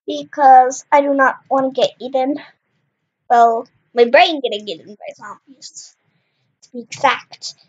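A woman's voice: high-pitched, a little slow, slightly rough, dull audio. A young woman commentating her own Minecraft game with a slight cough, chatty and exclaiming at what happens on screen. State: slight cough, clears throat. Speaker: gaming channel host. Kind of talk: casual Minecraft let's play commentary.

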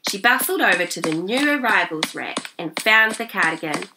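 A woman, reading a children's story aloud in an animated, smiling way. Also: medium pitch, moderate pace, background noise. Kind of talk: expressive storybook read-aloud. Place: small indoor reading room.

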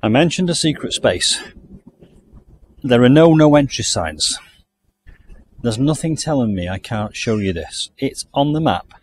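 A man's voice: medium pitch, very animated, measured pace, pauses often, slight background noise. A man from the UK giving a chatty, enthusiastic walking tour of a country garden outdoors, recorded on the camera he carries. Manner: chatty, enthusiastic, thinking aloud. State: hot and overdressed while walking. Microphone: handheld camera mic, walking.

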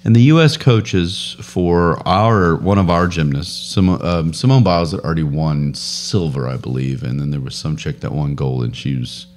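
Podcast co-host, thirties, deep male voice, rambling storyteller, close studio mic. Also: normal speed, hardly any pauses. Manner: rambling storyteller, laughs often, animated. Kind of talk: casual chat. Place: home studio room, indoors. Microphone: boom studio mic with headphones.

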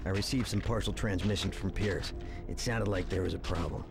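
An actor playing a resolute special forces captain in a video game, speaking in clipped military phrases. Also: male voice, low-pitched, fairly even intonation, a little fast, creaky. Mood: businesslike. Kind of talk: video game cutscene acting.

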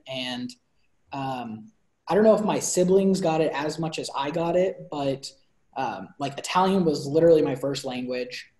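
A young man chats about a book over a video call, rambling a little with lots of fillers and restarts. His voice sits at an ordinary middle pitch. The sound is roomy, with echo from a bare room. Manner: animated hand gestures. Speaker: book review co-host. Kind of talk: casual video-call chat. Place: bright room at home. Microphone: video call laptop.

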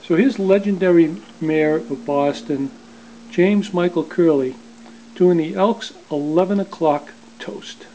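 A man with a fairly high but mellow voice casually presents an old record from his collection. The home video sound is dull, with faint noise behind him. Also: slow pace. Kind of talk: casual spoken introduction. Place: living room at home.

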